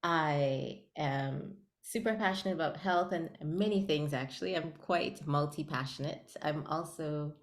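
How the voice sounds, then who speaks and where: A woman's voice: low voice, measured pace, few pauses, echoey room. A woman from Guyana, a podcast founder, answering interview questions cheerfully and laughing between phrases.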